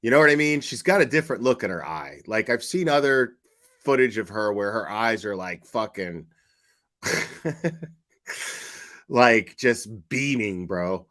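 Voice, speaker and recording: Brief: male commentator, low voice, unhurried delivery, blunt and sardonic, swears freely in off-the-cuff reaction talk. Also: lively intonation, fairly bright tone. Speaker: commentator reacting to online true-crime streamers.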